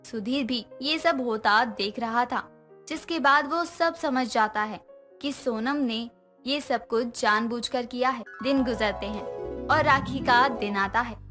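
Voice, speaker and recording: Woman storyteller, high voice, scripted narration with faint music under it. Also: measured pace, a bit bright.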